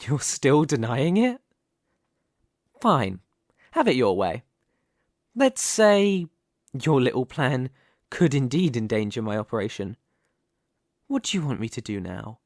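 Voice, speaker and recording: Casting: ambitious young mafia boss played by a man, mid-pitched, theatrical and possessive, measured pace, for an ASMR audio drama. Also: big pitch swings, darkish tone, no echo, clean studio sound. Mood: condescending. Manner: theatrical, deliberate, condescending, with pointed pauses. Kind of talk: ASMR roleplay, dramatic monologue to the listener.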